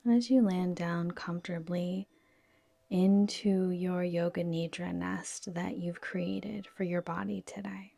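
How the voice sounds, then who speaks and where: Woman, yoga nidra guide, soft whispery delivery, slightly low pitch, slow pace, soothing instruction. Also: fairly even intonation, gravelly, no echo. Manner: soothing, gentle, unhurried instruction. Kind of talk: guided relaxation meditation.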